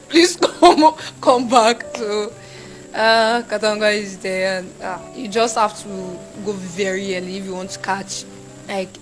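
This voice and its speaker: A young Nigerian woman, a university student, talking casually in an interview and acting out shouted quotes. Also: mid-range voice, rough recording. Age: young adult. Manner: animated, acts out shouted quotes.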